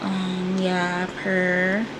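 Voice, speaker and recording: A woman's voice: on the low side, monotone, extremely slow, noisy background. A young woman in her twenties talking casually and animatedly to viewers, calling them y'all, while filming a vlog in her bedroom on a handheld phone. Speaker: vlogger. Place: small bedroom, indoors. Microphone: handheld phone camera, then propped on desk.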